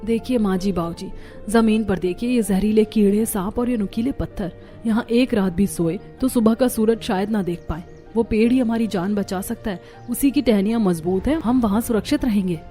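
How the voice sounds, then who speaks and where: A woman's voice: mid-range voice, normal speed, mellow, faint music behind, close to an everyday voice. A woman playing a resourceful young daughter-in-law in a cartoon story, earnest, respectful and determined. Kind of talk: Hindi cartoon story voice acting.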